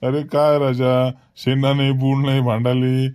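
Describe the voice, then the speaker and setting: A man's voice: medium pitch, flat delivery, normal speed, dark tone, clean tone, no room sound, natural voice. A man voicing a village schoolmaster in a comic cartoon, chatting and reasoning in a folksy way with a rural Varhadi Marathi accent. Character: village schoolmaster chatting about local gossip.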